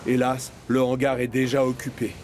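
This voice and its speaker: A man's voice: medium pitch, monotone, moderate pace, a little mellow. A man reading scripted storytelling narration for a children's cartoon. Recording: music behind narration.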